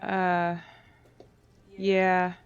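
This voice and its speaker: A man's voice: high-pitched, completely flat delivery, extremely slow, clean tone, muffled recording. A man speaking as a player in a tabletop game, hesitant and a little unsure. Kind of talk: in-character tabletop play.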